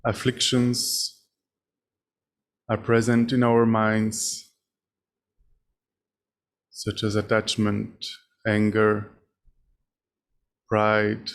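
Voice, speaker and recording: A man leading a guided meditation as a teacher, speaking in short, measured phrases with long pauses between them. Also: lowish voice, completely flat delivery. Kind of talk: guided meditation, spoken instruction.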